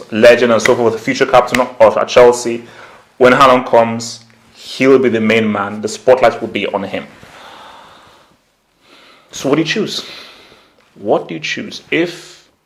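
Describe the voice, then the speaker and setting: A man giving casual, opinionated football commentary as a vlog host, slangy and conversational, repeating points for emphasis. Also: medium pitch. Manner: slangy, conversational, emphatic repetition, acts out imagined quotes. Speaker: football YouTuber, channel host.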